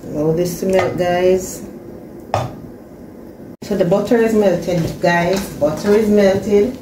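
Slow, low-voiced woman cooking. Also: background noise. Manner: talks to viewers while cooking, repeats phrases. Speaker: cooking channel host. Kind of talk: casual cooking narration. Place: home kitchen. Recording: echoey kitchen with frying sizzle.

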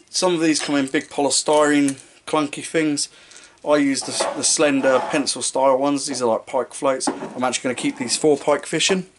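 A man's voice: mid-range voice, a little hiss or hum. A young man in his twenties walking through his fishing tackle to camera in a small room, casual and rambling, often correcting himself mid-sentence. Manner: rambling, self-correcting, offhand jokes about his knots and lighting. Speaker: fishing vlogger. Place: small indoor room with striped curtain. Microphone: camera mic at close range.

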